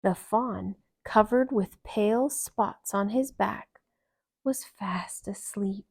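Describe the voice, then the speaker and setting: A woman reading a children's story aloud in a calm, expressive and gentle narration. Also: mid-range voice, takes it slowly, creaky, slightly rough. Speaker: storybook reader.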